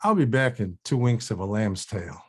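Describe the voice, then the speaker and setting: A man's voice: on the low side, expressive, moderate pace, husky. A man playing a laid-back, folksy pal with a menacing side in a table read. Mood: folksy charm.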